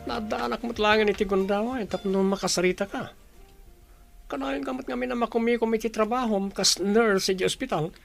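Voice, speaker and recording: Man playing earnest hopeful suitor, high voice, gravelly creak, pleading, romance audio drama, music behind. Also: brisk. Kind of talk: Ilocano audio drama dialogue.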